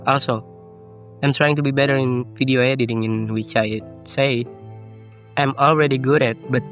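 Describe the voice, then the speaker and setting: A man's voice: medium pitch, a bit bright. A teenage male YouTuber from Indonesia with an Indonesian accent, speaking English as a second language in a reflective, self-deprecating personal narration. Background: game soundtrack music.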